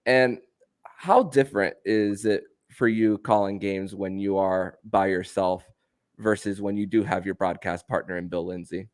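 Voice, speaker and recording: A man's voice: sits low, fairly even intonation, unhurried, no room sound. A man speaking as the host of a sports podcast. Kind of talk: podcast interview.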